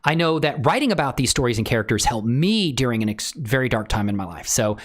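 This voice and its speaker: An enthusiastic, informal streamer of unclear gender, chatting casually and giving a software tutorial in a chatty, slangy way. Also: medium pitch, animated, fast. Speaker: livestream host and tutorial creator.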